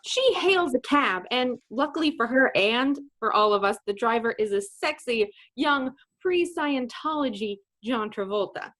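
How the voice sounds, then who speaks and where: A woman's voice: on the high side, expressive, a little slow, hardly any pauses, a bit bright. A woman comedian delivering sassy scripted narration.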